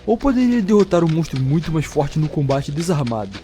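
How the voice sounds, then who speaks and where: Higher-voiced Brazilian man narrating fantasy. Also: very animated, a little fast, mellow. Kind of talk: dramatic scripted fantasy storytelling. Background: music.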